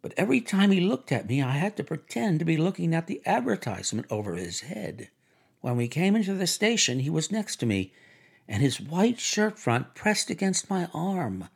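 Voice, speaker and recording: A man voicing a brassy, gushing, excitable woman in an audiobook, with theatrical, affected chatter. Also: a little high, moderate pace, gravelly, breathy edge, pitched above the performer's own voice, full character voice. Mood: giddy, thrilled. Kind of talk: audiobook character voice.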